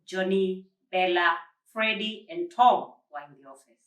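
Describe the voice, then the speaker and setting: A woman reading a children's story aloud with deliberate, dramatic phrasing and expressive stress on words. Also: lowish voice. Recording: roomy echo, distant mic.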